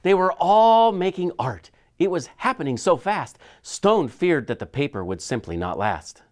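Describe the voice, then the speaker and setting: A man's voice: fairly high pitch, very animated, a little slow. A man reading a children's picture book aloud expressively, with dramatic shifts in his storytelling. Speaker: school superintendent reading aloud.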